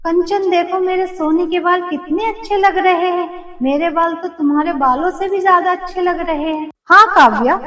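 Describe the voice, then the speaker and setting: A woman's voice: extremely high pitch, moderate pace, few pauses, fairly bright tone. A woman voicing young female characters in a cartoon fairy tale, in a theatrical, exclamatory way. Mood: excited. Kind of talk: Hindi cartoon story voice acting. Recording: echoey, distant-sounding voice track.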